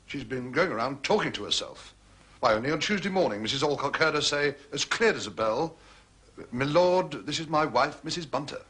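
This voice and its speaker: An actor playing a deferential, unflappable butler in a period mystery drama, formal and carefully hesitant. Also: male voice, on the high side, animated, a bit bright, husky. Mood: respectful, guarded. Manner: formal, obsequious, measured hesitations. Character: smooth, deferential male butler, unflappable and evasive.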